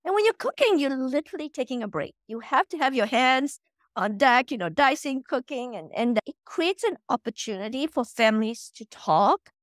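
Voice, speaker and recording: A woman's voice: high-pitched, normal speed, no echo, clean studio sound. Malaysian-born woman on a video call. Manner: animated, repeats words when starting sentences. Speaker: guest, company founder. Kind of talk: casual interview chat. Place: home room, video call.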